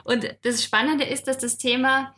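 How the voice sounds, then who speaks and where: A woman co-hosting a podcast, chatty and lively, laughing often as she explains. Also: sits high, moderate pace, a little hiss or hum. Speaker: podcast co-host. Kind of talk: casual podcast chat.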